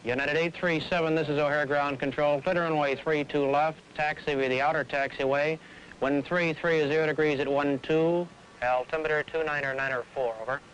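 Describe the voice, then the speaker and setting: An actor playing a businesslike tower controller, issuing procedural clearances to aircraft. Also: male voice, on the high side, fairly even intonation, fairly quick, fairly bright tone, lightly acted, poor audio. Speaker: air traffic controller. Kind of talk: procedural radio instructions. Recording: old film soundtrack, faint background noise.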